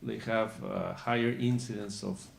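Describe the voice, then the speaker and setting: Gravelly-voiced Mexican panelist, hesitant delivery, echoey conference room. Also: male voice, fairly low pitch, fairly even intonation, a little hiss or hum. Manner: halting, many uh fillers and restarts. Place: conference hall. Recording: roomy conference hall.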